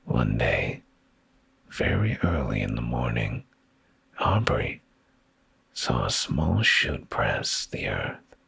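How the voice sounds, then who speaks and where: An extremely low-voiced man reads a bedtime story as ASMR, heavy with vocal fry. He is soothing and intimate, and his hushed delivery is very breathy, often slipping into a whisper. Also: very animated, slow, long pauses. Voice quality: very deep, breathy, gravelly. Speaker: ASMR streamer reading bedtime stories.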